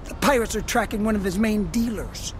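A woman's voice: medium pitch, a little fast, slight background noise, lightly acted. Woman as brash, swaggering punk gang enforcer. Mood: scornful. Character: brash, tough, foul-mouthed punk female gang enforcer. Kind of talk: cinematic game dialogue.

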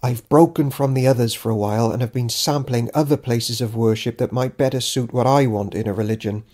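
A man's voice: mid-range voice, steady tone, fairly quick, a little mellow, close to an everyday voice. A man reading an audiobook as Judas Iscariot, a self-important, grudging disciple keeping a diary, in a dry, theatrical, pompous manner. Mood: sardonic, disdainful. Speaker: author reading own audiobook. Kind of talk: dramatic audiobook narration of a diary.

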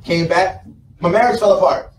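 A man's voice: high-pitched, normal speed. American man giving a roomy, distant motivational talk. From South Carolina, United States. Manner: conversational, storytelling, repeats phrases for emphasis. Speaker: motivational speaker. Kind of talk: informal motivational speech to a live audience. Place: indoor conference room with a small stage. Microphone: camera mic from the audience, several meters away. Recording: roomy, distant mic, some background noise.

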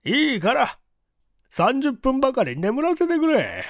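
A man acting a slow, weary police chief. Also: very high voice, wildly swooping pitch, no room sound, pitched above the performer's own voice, exaggerated voice. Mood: tired, dismissive. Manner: slow, heavy, ponderous delivery. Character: weary, brooding male police chief, sighing and gloomy. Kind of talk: audiobook character dialogue.

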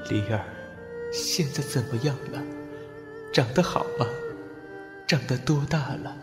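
Period audio drama: subdued, deferential male general played by a man, medium pitch, long reverb. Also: expressive, measured pace, lightly acted. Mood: sorrowful. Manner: hesitant, deferential. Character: reserved, dutiful, melancholy male general of ancient China. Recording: long reverb, music under dialogue.

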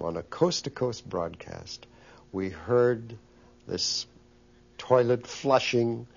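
A man's voice: lowish voice, creaky, darkish tone. A man recounting anecdotes as an interviewee, in a recollecting, storytelling style. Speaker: interviewee, former radio colleague. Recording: dull, muffled interview recording.

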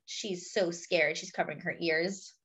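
A woman reading a picture book aloud to young children and commenting on the pictures, expressively and with pauses for emphasis. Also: fairly low pitch, fairly even intonation, a bit bright, husky. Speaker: children's storybook reader.

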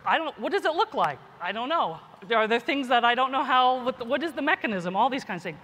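A woman's voice: high voice, sing-song, fast, a bit bright, breathy edge. A woman giving a conference keynote as a scientist and teacher, informal, often adding "right?" and "you know". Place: large conference hall. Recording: echoey hall, long reverb.

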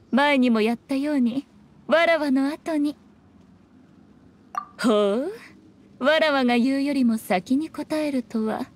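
A fox shrine maiden from an anime video game. Her female voice sits high, with archaic, haughty phrasing. She comes across as sly, elegant and playful, over faint background noise. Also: a little mellow.

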